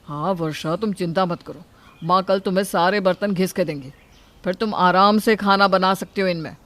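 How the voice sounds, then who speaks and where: A man's voice: high-pitched, moderate pace, a bit bright. A man playing a young, food-loving husband who complains but cares, in an acted moral story. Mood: reassuring.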